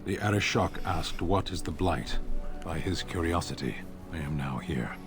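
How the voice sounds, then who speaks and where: An actor voicing a blunt, stoic warrior of a giant fantasy race in a video game, speaking in a terse, literal, solemn way. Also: male voice, sits low, animated, moderate pace. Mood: flat, guarded. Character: blunt, stoic Qunari warrior, large humanoid soldier. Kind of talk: video game character acting. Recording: game music behind.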